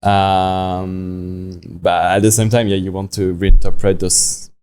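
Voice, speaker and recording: A young man from France with a French accent, a watch company founder talking in a podcast interview, halting and full of restarts and fillers. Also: sits low, level tone, a little mellow, smooth.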